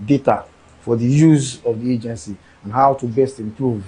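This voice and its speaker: A man, an agency official explaining procedures in a measured way. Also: mid-range voice, fairly even intonation, dark tone, breathy edge, low-quality recording. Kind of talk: news interview answers.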